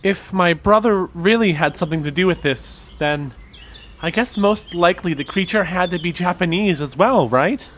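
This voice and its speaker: A man playing a thoughtful young folklore buff in an audio drama, explaining things in a measured, conversational way. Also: high voice, a bit bright, slight background noise.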